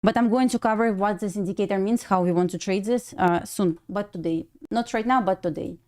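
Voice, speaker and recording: A woman's voice: mid-range voice, fairly quick, a little mellow. A woman from Belarus with a Russian accent, casually commenting on a live trading stream, thinking aloud and answering chat. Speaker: day-trading livestream host.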